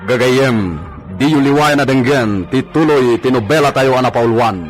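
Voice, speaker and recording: A man's voice: medium pitch, normal speed. A man with an Ilocano accent narrating a radio drama in a dramatic scripted style. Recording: music behind the voice. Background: dramatic music.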